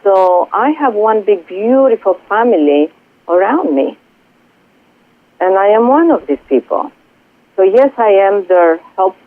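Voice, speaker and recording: A woman from Greece with a Greek accent, a spiritual coach speaking earnestly and haltingly as a guest on a radio interview. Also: mid-range voice, animated, no echo. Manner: earnest, halting, many pauses and fillers. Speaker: spiritual coach and Reiki healer. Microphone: telephone. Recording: tinny phone line, dry.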